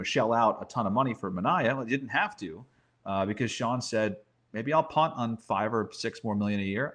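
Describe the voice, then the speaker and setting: Male podcast guest with a broadcaster's background, medium pitch, talkative conversational sports analysis. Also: a bit bright.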